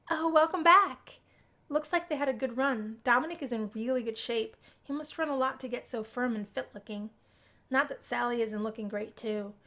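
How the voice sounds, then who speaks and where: A woman reading a visual novel aloud as its host, in an expressive storytelling style. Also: on the high side. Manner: acts out the characters' dialogue. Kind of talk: expressive storybook-like narration of a game.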